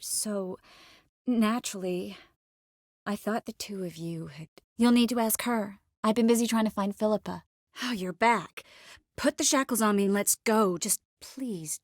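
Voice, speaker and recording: An actress playing a poised, caring yet firm sorceress in scripted video game dialogue. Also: female voice, mid-range voice, no room sound, studio quality, natural voice. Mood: calm, firm.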